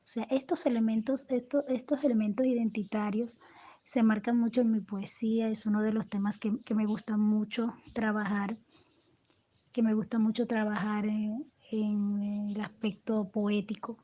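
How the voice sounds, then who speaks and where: A Colombian woman poet giving reflective interview answers, halting and restarting often as she searches for words. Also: medium pitch, flat delivery, dark tone. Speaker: poet and storyteller, interview guest.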